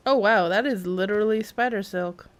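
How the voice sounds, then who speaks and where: A woman's voice: medium pitch, moderate pace. A woman chatting casually and thinking aloud as she livestreams a video game. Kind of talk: casual game stream commentary.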